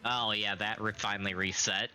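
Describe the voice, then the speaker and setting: A young man streaming a game in casual chat, recovering from illness and slightly raspy, clearing his throat now and then. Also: fairly low pitch, fairly even intonation, over loud music. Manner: slow, chatty, occasional coughs and throat clearing.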